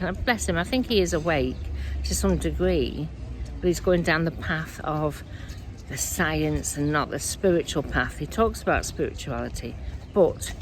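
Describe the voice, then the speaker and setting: A woman speaking as a spiritual medium in a casual, earnest, rambling monologue, her voice croaky and dry. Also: sits low, slight background noise. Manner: earnest, rambling, self-correcting.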